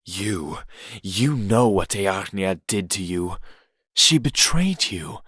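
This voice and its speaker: A man's voice: low-pitched, expressive, slow pace, pushed and bright, slightly rough, no echo, studio quality, heavily acted. A man playing a cold, proud, bitter prince in a theatrical, menacing audio drama style.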